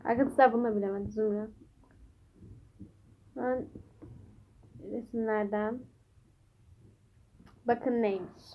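A woman's voice: fairly high pitch, steady tone, slow, long pauses, lo-fi sound. A woman hosting a playful guessing game, chatting casually with viewers and a child in a coaxing, teasing way. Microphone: phone held by the speaker. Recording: noisy home phone recording with clicks.

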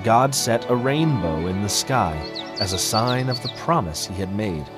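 A man narrates a scripted Bible story for children. His middle-pitched voice rises and falls widely, and he phrases each line carefully at a slow pace. Music plays clearly behind him. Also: animated.

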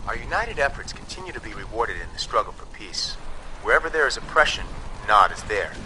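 An actor playing a propaganda announcer with a solemn broadcast delivery. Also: male voice, fairly low pitch, very animated, brisk, pushed and bright, a little hiss or hum, full character voice, low-quality recording.

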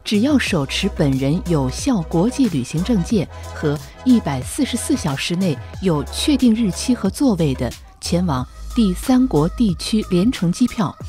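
A woman narrating a scripted promotional news feature in a polished, measured announcer style. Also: lowish voice, dark tone. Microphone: studio voice-over. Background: music.

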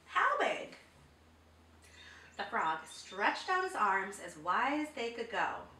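A woman in her thirties reading a picture book to children in a dramatic, deliberate way. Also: mid-range voice, very animated, sharp tone. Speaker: children's storyteller. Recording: roomy, distant microphone.

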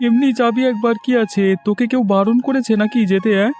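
A man's voice: very high-pitched, steady tone, fast, a little mellow, soft background music. A man voicing a chummy, inquisitive young village friend in a cartoon, talking familiarly and teasingly. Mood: chummy, sympathetic. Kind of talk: cartoon dialogue, moral story.

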